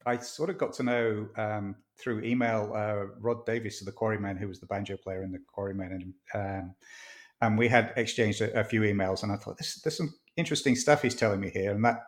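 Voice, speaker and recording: A man's voice: lowish voice, steady tone, brisk. A man in his sixties from near Manchester with a northern English accent, telling stories as an interview guest in a rambling, chatty way with many ums. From northwest England, near Manchester. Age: 60s. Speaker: author and podcast guest. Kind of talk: casual interview chat.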